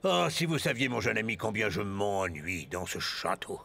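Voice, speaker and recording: A man voicing a bored, courtly old king in a children's cartoon, husky and given to flowery formal speech. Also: lowish voice, sing-song, moderate pace, a bit bright, full character voice. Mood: weary, wistful.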